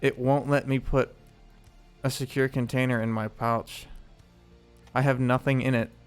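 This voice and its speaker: A man's voice: medium pitch, little pitch movement, a little slow, smooth, soft background music. A man streaming a video game live, chatting casually with viewers, rambling with lots of fillers as he loots and sorts gear.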